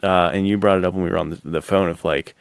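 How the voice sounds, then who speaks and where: A man's voice: low-pitched, fairly even intonation, fast talker, gravelly, clear, resonant tone, no echo, studio quality. An American man co-hosting a podcast, chatting about films in an informal, slangy, enthusiastic way. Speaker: podcast co-host. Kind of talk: casual film chat.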